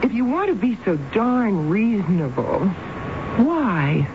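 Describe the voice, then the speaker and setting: Stubborn, troubled housewife, widely swinging intonation. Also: female voice, medium pitch, sing-song, takes it slowly. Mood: defensive, insistent. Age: middle-aged. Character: troubled, stubborn, defensive middle-aged housewife. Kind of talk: 1970s radio drama acting. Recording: dull noisy vintage radio recording.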